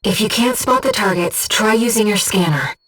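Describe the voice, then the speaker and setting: Gentle, composed female companion, distant sound. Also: medium pitch, expressive, normal speed, soft background music. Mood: composed, informative. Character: gentle, earnest female disembodied mind companion guiding a pilot. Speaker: mission support operator in a video game. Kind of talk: scripted video game voice acting, mission briefing.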